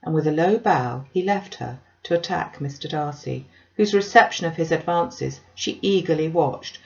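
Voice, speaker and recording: A woman's voice: low voice, moderate pace, gravelly, a little mellow, dull audio. A woman reading a classic novel aloud as scripted audiobook narration. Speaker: audiobook reader.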